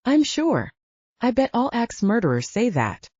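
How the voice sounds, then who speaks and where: A woman voicing a gruff, possessive, lovesick male werewolf in an audiobook romance. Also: a little high, wildly swooping pitch, a little slow, darkish tone, no room sound, lo-fi sound, pitched above the performer's own voice, full character voice. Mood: guarded, incredulous.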